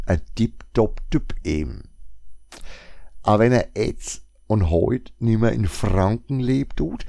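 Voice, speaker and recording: A man's voice: lowish voice, creaky, warm tone, no room sound. A man with a Franconian German accent chatting casually to his listeners in a rambling blog monologue, full of wry asides. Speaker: shop blogger.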